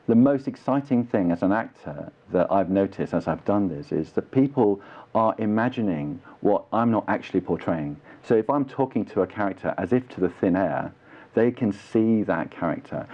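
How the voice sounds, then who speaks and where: A British man, an actor and drama teacher, giving earnest, explanatory answers in a conversational interview. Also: low-pitched. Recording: dull, muffled lo-fi audio.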